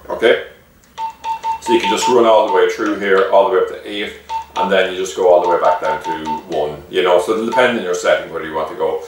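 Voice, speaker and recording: A man's voice: low voice, a little hiss or hum. A man giving a casual, chatty product demonstration, explaining step by step like a shopkeeper and often checking in with the listener. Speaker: shop owner presenting a product. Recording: echoey room, distant microphone.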